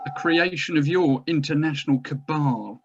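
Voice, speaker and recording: Pompous evasive doctor, acted by a man, higher-pitched male voice, formal hesitant phrasing, drama table read, muffled lo-fi recording. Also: moderate pace, background noise, close to an everyday voice. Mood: disdainful. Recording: dull lo-fi sound.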